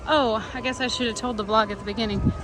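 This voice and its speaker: A woman vlogging while walking through a theme park, chatty and self-deprecating, her voice slightly hoarse. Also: on the high side, steady tone, quick pace, noisy background. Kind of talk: casual vlog narration. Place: outdoor theme park, crowds and music around. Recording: loud park crowd and music behind.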